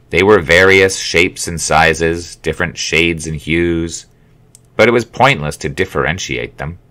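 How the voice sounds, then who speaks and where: Male author-narrator, low-pitched, for a memoir audiobook. Measured delivery with dry, wry humor. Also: a bit bright. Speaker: author reading his own memoir. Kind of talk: audiobook narration, scripted storytelling.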